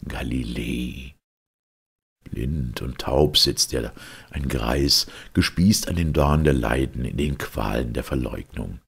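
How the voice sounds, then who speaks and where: A man narrating a literary story with solemn, theatrical weight and a gravelly, husky voice. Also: rumbling low, takes it slowly, fairly bright tone. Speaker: audiobook narrator.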